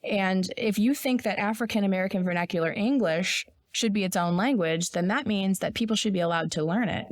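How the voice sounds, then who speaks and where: A woman's voice: medium pitch, brisk, hardly any pauses, no echo, clean studio sound. A woman co-hosting a podcast, explaining ideas in a blunt, opinionated way and swearing now and then. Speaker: podcast co-host. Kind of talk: casual podcast discussion.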